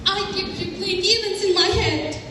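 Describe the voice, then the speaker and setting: Hurt, ashamed young female victim, played by a woman, stage reading, very high voice, heavy echo. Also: moderate pace, bright, forceful tone. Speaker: student teacher performing in a readers' theatre. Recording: very echoey hall, distant mic, noisy.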